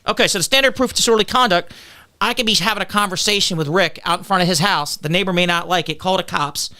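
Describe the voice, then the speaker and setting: Defensive candidate guest: man with a loud, clear, brisk voice. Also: sits high. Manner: combative, argumentative, lectures on legal details. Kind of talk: defensive podcast interview.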